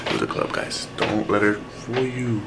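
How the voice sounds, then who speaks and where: A man's voice: fairly low pitch, little pitch movement, moderate pace, very noisy. A young man in his twenties chatting playfully to his handheld camera in a small apartment. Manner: playful, teasing, loose and jokey. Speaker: vlogger. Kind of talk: casual home vlog chat. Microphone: handheld camera at arm's length.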